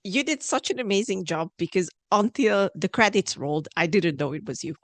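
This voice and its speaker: A woman's voice: medium pitch, expressive, fairly quick, no room sound. A woman from Hungary interviewing a guest casually as an enthusiastic podcast host.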